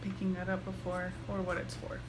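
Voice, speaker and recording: A woman's voice: on the low side, monotone, a little fast, background noise. A woman giving casual, chatty commentary while she plays a video game. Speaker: game streamer. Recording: game music behind.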